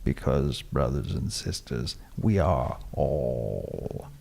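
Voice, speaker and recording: A man playing a pompous, philosophical pirate-radio host with a gravelly, husky voice and a solemn, wordy manner. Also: rumbling low, animated, takes it slowly, darkish tone, heavily acted. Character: grandiose philosophical male pirate-radio host, earnest hippie preacher type. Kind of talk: comic radio drama acting.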